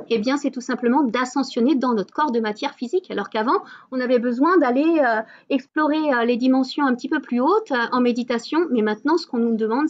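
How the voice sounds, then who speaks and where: Hesitant high-voiced woman on a lo-fi call. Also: a little mellow. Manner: hesitant, many fillers and restarts. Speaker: host of an online wellness meeting. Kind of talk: casual spiritual wellness talk.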